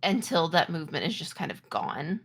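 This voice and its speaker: A woman running a tabletop roleplaying game, narrating in a halting, improvised way. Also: sits low, lively intonation, a bit bright, husky. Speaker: game master.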